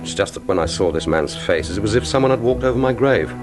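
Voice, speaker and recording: An actor playing a cool, wry, brooding secret agent with a dry, understated manner in a radio drama. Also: male voice, on the low side, animated, quick pace, over music, lightly acted. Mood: guarded. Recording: loud orchestral film score behind voice.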